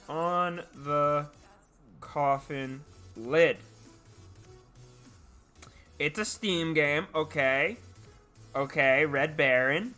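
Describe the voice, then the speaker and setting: A man with a high, bright voice chats to his live stream audience. He is playful and talkative, often doubling back on a word or phrase. Music plays behind him as he talks. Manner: chatty, playful, repeats words and phrases. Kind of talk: casual chat with live stream viewers. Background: background music.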